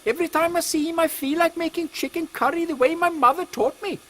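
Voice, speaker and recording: A man voicing an earnest, excitable Indian engineer with formal, precise speech in a comic audio drama. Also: very high voice, quick pace. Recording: faint background noise.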